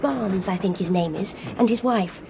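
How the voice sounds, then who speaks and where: A woman's voice: mid-range voice, normal speed, lightly acted. An actress playing a desperate, earnest young woman with an English accent in a radio drama. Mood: weary recounting. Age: young adult. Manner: earnest, emphatic. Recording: clear train noise behind.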